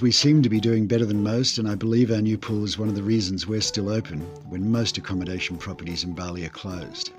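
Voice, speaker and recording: Male owner reading a measured voice-over, lower voice, soft music under it. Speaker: eco lodge owner. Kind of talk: scripted voice-over narration.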